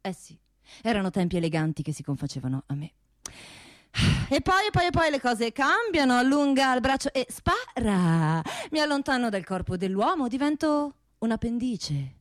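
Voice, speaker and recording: Vain, seductive talking pistol, high-pitched. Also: female voice, sing-song, normal speed, no echo, clean studio sound. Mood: seductive, proud. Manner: theatrical, self-admiring, teasing. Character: seductive, vain female pistol, proud movie veteran. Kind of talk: theatrical radio play monologue.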